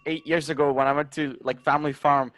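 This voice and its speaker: A man's voice: a little high, fast talker, no echo. Man, easygoing chat, lots of "like", dry close sound. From Britain, Lithuanian family. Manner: relaxed, laughs easily, many "like"s. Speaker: native-speaker guest. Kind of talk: casual chat.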